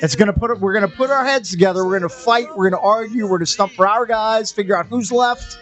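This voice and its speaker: A man hosting a sports radio show, running the segment with energetic, joking banter. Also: high voice, fast, soft background music. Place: radio studio.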